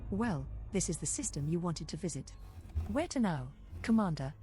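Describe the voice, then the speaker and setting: A woman's voice: lowish voice, expressive, moderate pace, music in the background, close to an everyday voice. A woman voicing a courteous, efficient spaceship computer assistant in a game, formal and precise. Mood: businesslike. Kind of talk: scripted game voice assistant announcements.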